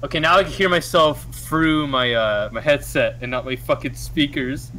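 A young man chatting casually and excitably, swearing freely, his voice run through a voice changer on a headset. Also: a little high, normal speed, poor audio. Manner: excitable, giggly, blurting. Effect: voice changer with shifting presets. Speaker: video maker playing with a voice changer. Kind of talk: casual joking chat with swearing. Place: indoors at a computer.

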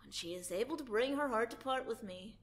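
A woman's voice: on the high side, lively intonation, a little fast. Eager boy explorer, voiced by a woman. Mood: earnest. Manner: eager, formal archaic phrasing. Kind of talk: amateur radio drama acting.

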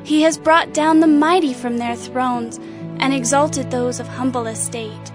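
Devout young maiden: high pitch, reverent, humble, scripture drama over music. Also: female voice, steady tone, a little slow. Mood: reverent joy. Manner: reverent, lyrical recitation. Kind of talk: dramatized audio Bible acting.

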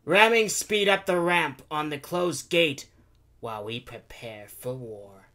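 A man voicing a calm, purring female cat conductress in a storybook reading. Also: a little high, takes it slowly, voice pushed up. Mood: assured.

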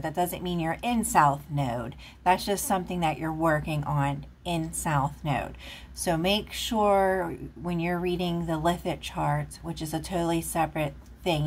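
A woman giving a casual, rambling tarot reading, chatting with lots of fillers while recorded off camera at a table indoors. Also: sits low. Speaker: tarot reader.

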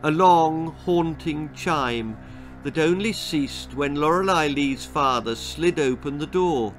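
A man's voice: fairly high pitch, slow pace, a bit bright, soft background music. Older English man reading a story dramatically. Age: 60s or older. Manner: dramatic, relishing delivery. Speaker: author reading his story. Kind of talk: expressive storybook narration. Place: small book-lined room indoors.

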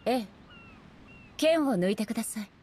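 An actress voicing a stern, solemn female warrior ruler in a Japanese video game, speaking in a formal, measured manner. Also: on the high side, lively intonation, mellow. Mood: solemn, commanding. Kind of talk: Japanese game character voice acting.

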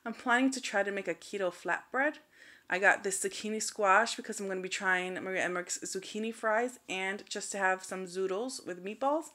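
A woman's voice: mid-range voice, moderate pace, bright, forceful tone. A woman in her thirties talking casually and straightforwardly as a vlogger, recorded indoors at home. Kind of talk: casual grocery haul vlog.

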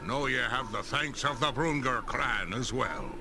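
An earnest older dwarf clan leader in a video game. He speaks slowly and emphatically in a gravelly, hearty voice. Loud music plays behind him. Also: medium pitch, expressive. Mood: grateful. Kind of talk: scripted video game dialogue.

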